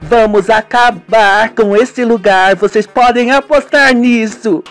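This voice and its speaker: A man voicing a furious, grieving young woman tourist who shouts defiantly, in an amateur radio novela. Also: a little high, slow pace, soft background music, pitched above the performer's own voice. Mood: furious defiance.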